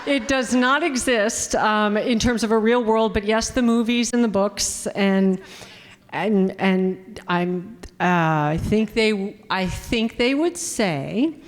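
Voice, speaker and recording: An older woman from upstate New York, answering fan questions at a live event, talking in an animated, profane way that cuts itself off mid-word. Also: medium pitch, slow pace. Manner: animated, profane, self-interrupting. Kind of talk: live Q&A with audience. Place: event hall before an audience. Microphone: handheld microphone. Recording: reverberant hall with faint noise.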